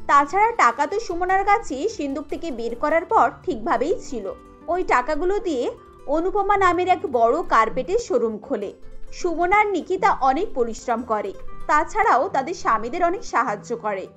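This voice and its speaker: Woman reading a cartoon story, high voice, soft music behind. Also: very animated, normal speed. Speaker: narrator and voice actor. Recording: music bed underneath.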